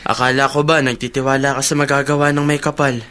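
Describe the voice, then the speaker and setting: A man's voice: mid-range voice, flat delivery, quick pace, bright, forceful tone, close to an everyday voice. Man playing a loyal, reassuring friend. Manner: reassuring, earnest. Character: loyal, encouraging male friend, steady and sincere. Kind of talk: Filipino radio drama acting.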